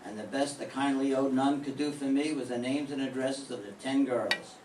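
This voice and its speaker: A man's voice: medium pitch, normal speed, natural voice. Older guy doing a dry sardonic private eye, old radio show style, roomy and far off. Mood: narrating. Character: hard-boiled male private detective, dry and sardonic. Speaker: amateur radio play performer. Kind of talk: 1940s radio acting. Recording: echoey room from far off, faint hiss.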